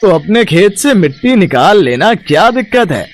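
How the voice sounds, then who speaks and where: A man playing a stingy, grumpy village headman, dismissive and insistent, in an animated story. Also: sits high, normal speed, slight background noise. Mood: brusque.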